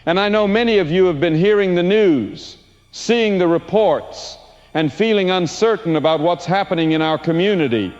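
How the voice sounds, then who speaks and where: A man's voice: sits high, moderate pace, natural voice. A man playing an earnest, reassuring church pastor delivering a sermon. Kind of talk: sermon acting. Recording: reverberant dull church sound, faint music.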